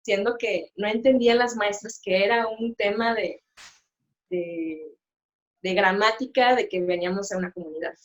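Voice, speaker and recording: A middle-aged woman, a sign language interpreter, talks as a guest on a video call and pauses often with fillers and restarts. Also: mid-range voice, muffled recording. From Mexico. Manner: hesitant, many fillers and restarts. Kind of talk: live-stream interview, casual chat.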